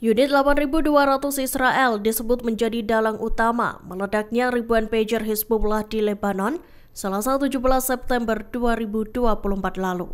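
A woman reads scripted news narration as a presenter, in a formal, measured manner. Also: fairly high pitch.

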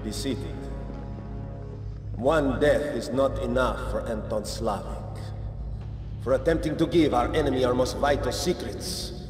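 An actor playing a cruel, commanding male general who speaks slowly, gravely and theatrically. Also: medium pitch, distant mic, music in the background, close to an everyday voice. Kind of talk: game cutscene acting. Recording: echoey hall reverb.

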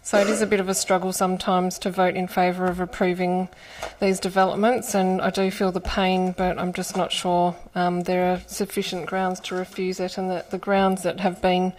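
Woman mayor chairing, even tone. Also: on the low side, a little hiss or hum, rough recording. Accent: Australian. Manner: brisk procedural, then hesitant in debate. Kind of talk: chairing a council meeting. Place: large council chamber. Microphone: desk microphone.

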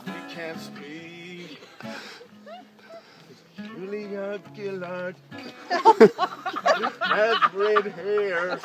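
Young Australian man, amateur freestyle rapper; high, unhurried, crude and giggly, over plucked guitar. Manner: loose, giggly and crude, making up rhymes on the spot. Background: acoustic guitar playing.

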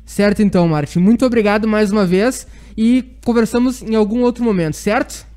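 Gaúcho guy on sports radio, pretty high voice, chatty and polite. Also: brisk, a little mellow. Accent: southern Brazilian, gaúcho. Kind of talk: live sports radio chat.

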